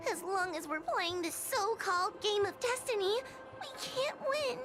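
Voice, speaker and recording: A woman's voice: very high voice, lively intonation, unhurried, music in the background. A woman voicing a timid, anxious young girl in a video game, fretful but polite and earnest. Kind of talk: anime-style game cutscene acting.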